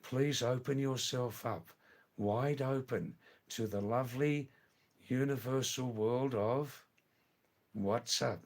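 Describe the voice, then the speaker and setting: An older man hosting a live stream, casually chatting with viewers and answering their comments in a slow, rambling way that repeats words. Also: mid-range voice, a little mellow.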